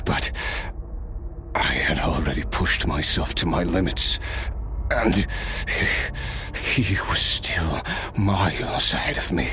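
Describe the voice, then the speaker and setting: A very deep-voiced, weary immortal warrior. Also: male voice, background noise. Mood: regretful, wistful. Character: immortal old warrior, weary, wistful, wryly good-humored man. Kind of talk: dramatic video game voice acting, storytelling.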